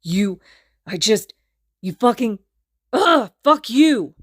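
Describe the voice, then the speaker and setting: A woman's voice: a little high, big pitch swings, takes it slowly, dry recording, studio quality, pitched above the performer's own voice, heavily acted. A woman reading a story aloud, voicing a furious, foul-mouthed teenage boy hero who roars, snarls and swears.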